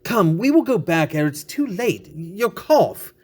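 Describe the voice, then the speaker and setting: A man acts out a cunning gothic aristocrat feigning concern. The delivery is formal and solicitous, fairly high in pitch with big melodic swings. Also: sing-song, unhurried, a little mellow, exaggerated voice. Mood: feigned concern. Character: cunning vengeful nobleman feigning friendly concern.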